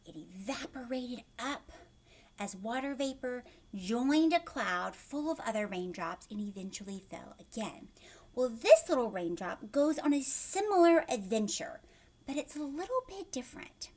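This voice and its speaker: A woman's voice: a little high, big pitch swings, unhurried, a little mellow. A woman teacher reading a picture book aloud to young children, expressively, with questions and asides to her listeners.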